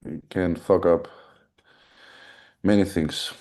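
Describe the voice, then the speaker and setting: A man's voice: deep voice, fairly even intonation, slow, a little mellow. A young man with a Greek accent, hosting a casual live podcast chat in a blunt, profane, candid way. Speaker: podcast host.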